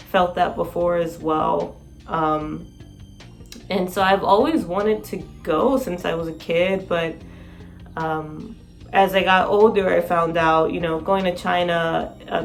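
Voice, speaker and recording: A sleep-deprived woman with a raspy voice, chatting excitedly in a casual vlog. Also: fairly low pitch. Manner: excited, rambling, laughs often. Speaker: vlogger.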